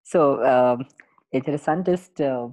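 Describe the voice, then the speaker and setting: A young man hosting a podcast, opening with a soft spoken introduction and then chatting with many fillers. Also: mid-range voice, rough recording. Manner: soft, slow intro over music, then chatty and halting. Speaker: podcast host. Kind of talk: podcast interview with narrated intro.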